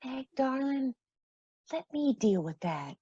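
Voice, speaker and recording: A caring, reassuring mother in a radio drama, acted by a woman. Her voice is husky, fairly high and expressive. The recording is a little muffled and dry. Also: slow, no room sound, full character voice. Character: caring, reassuring foster mother under strain. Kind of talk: radio play acting.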